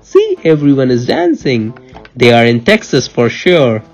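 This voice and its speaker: A woman reads aloud to a child in a low voice with animated, swinging intonation. She is playful and teacherly, repeating and prompting as she goes, and takes an unhurried pace. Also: soft background music. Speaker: parent reading a picture book to a child. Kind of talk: animated read-aloud storytelling with questions to a child.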